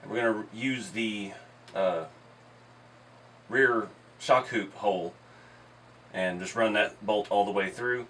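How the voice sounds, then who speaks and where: Hoarse man talking through a workshop build. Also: on the low side, recorded from a distance, slight background noise. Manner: relaxed, explains while working with his hands. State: hoarse, losing his voice. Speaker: RC hobby channel host. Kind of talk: casual workshop build commentary. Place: indoor garage workshop.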